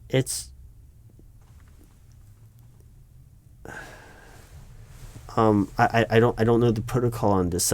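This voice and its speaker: A man playing a politely incredulous recruiting manager in an improvised audio roleplay, speaking aloud in a halting, stammering way and repeating words. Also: medium pitch, a little mellow, natural voice. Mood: flustered, disbelieving. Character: flustered, politely incredulous male recruiting manager interviewing someone. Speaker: job interviewer. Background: computer keyboard typing.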